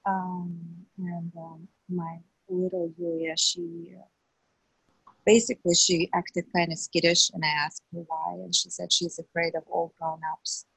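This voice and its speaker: A woman whose first language is Russian shares in a support group, speaking softly and hesitantly as she searches for words. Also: on the low side, flat delivery, measured pace, vocal fry, husky, dry recording, poor audio. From Southern California. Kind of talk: personal sharing in a support group.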